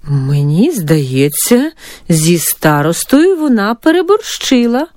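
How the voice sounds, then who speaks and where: Woman playing a calm, doubting mother. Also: mid-range voice, takes it slowly, no echo, clean studio sound. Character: calm skeptical mother Yevheniia Petrivna.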